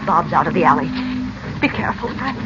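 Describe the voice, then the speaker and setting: A woman playing an anxious, scolding, protective mother in a 1940s radio adventure, fretful and sharp-spoken. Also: fairly low pitch, animated, brisk, heavy background noise, close to an everyday voice. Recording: dull vintage radio recording with music.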